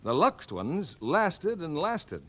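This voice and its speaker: A man's voice: a little high, wildly swooping pitch, slow pace, a bit bright. A man with an American accent delivering scripted 1940s radio announcements and advertising patter.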